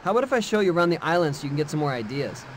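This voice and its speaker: A man's voice: fairly high pitch, sing-song, very fast. An actor playing a friendly, earnest young male skateboarder in casual video game cutscene dialogue. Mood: easygoing. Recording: game audio with faint background noise.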